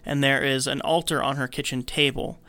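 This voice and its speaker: A man hosting a podcast, casually retelling a film's story in an animated storytelling way. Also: medium pitch, brisk, no echo. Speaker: podcast host recapping a film. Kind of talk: casual film recap narration.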